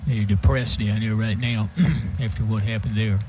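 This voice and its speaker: A man's voice: on the low side, little pitch movement, normal speed. A man giving casual, folksy color commentary on a live football radio broadcast. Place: outdoor stadium radio booth. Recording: muffled radio feed, loud stadium noise.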